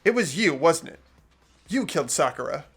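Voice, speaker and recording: Man as an earnest teen boy, higher-pitched, very expressive. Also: normal speed, creaky, soft background music. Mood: accusing. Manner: dramatic, earnest. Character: earnest, anguished teenage boy narrator. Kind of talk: fan voiced game narration.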